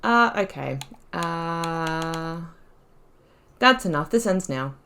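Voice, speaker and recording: A woman's voice: lowish voice, expressive, takes it slowly, fairly bright tone, slight background noise. A woman giving casual gameplay commentary as the host, chatty and giggly, thinking aloud as she goes. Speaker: gaming YouTuber, host of a playthrough.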